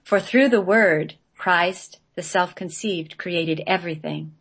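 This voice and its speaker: A woman reading radio play narration in a measured, solemn manner. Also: on the low side, a bit bright, breathy edge. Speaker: guest, playwright reading own play.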